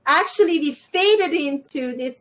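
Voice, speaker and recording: A middle-aged woman, hosting a religious video chat and explaining her faith in an earnest, deliberate way. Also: high voice, expressive, fairly bright tone. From Hungary. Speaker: host. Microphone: earbuds.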